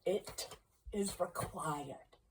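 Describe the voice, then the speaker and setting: A woman voicing a solemn, mournful male ghost in a storybook, with drawn-out wailing words. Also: fairly low pitch, a little hiss or hum.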